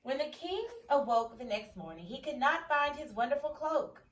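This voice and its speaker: A woman teacher reading a picture book aloud expressively, pausing to question and explain in an instructive, emphatic way. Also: sits high, animated. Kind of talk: expressive story read-aloud with questions to children. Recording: echoey room, distant mic, faint noise.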